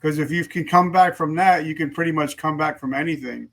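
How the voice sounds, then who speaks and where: A man's voice: fairly high pitch, brisk. Upbeat fifty-something male host. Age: 50s. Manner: enthusiastic, repeats words when starting. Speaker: podcast host. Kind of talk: interview host.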